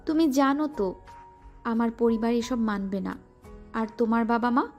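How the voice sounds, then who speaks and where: A woman's voice: on the high side, normal speed, darkish tone, natural voice. An actress playing a shy young female student who scolds her suitor while secretly pleased. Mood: coy. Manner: coy, mock-scolding. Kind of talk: Bengali audio drama acting. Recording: music underneath.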